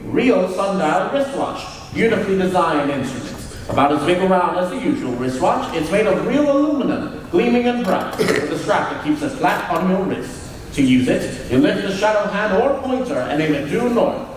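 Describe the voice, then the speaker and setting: A man acting an upbeat 1940s radio pitchman selling to children. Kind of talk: old-time radio advertising. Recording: echoey, distant mic, faint noise.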